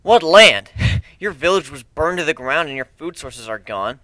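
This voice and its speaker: A man's voice: a little high, expressive, a little slow, sharp tone, dry recording, pitched above the performer's own voice, low-quality recording. A man voicing a brash, cocky griffin adventurer with a blunt, sardonic way of talking, in a fantasy story reading. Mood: negotiating.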